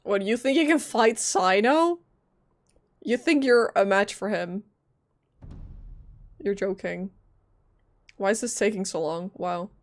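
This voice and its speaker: Casual woman streamer, medium pitch. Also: takes it slowly, pauses often. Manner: informal, reactive asides. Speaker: live game streamer. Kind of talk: casual gameplay commentary. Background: game music.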